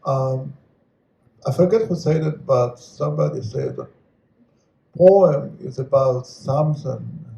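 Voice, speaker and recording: A man answering on a live panel, musing aloud with rhetorical questions and animated asides. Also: mid-range voice, takes it slowly, vocal fry, long pauses, muffled recording. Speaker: panelist poet. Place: venue hall at a live reading. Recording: echoey hall.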